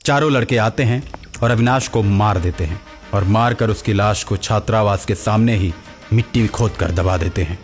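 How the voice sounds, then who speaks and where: A man's voice: fairly low pitch, moderate pace. A man narrating a scripted story as its narrator. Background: music.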